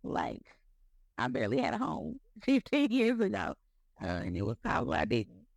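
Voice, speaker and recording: Very gravelly speaker in their thirties. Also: medium pitch, huge pitch swings, moderate pace, dry recording. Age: 30s. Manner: rambling, heavy use of "like" and "you know", repeats words. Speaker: podcast speaker. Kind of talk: casual faith talk.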